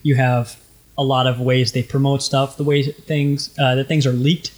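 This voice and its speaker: A man's voice: mid-range voice, little pitch movement, moderate pace. A young man with a light tenor voice, co-hosting a podcast in casual, rambling chat with many fillers. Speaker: podcast co-host.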